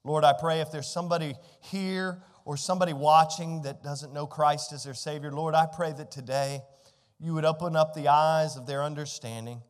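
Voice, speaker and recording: A man's voice: fairly high pitch, fairly even intonation, normal speed. A middle-aged American man preaching a sermon in a conversational, emphatic way, putting rhetorical questions to his listeners. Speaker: pastor. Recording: echoey hall with long reverb.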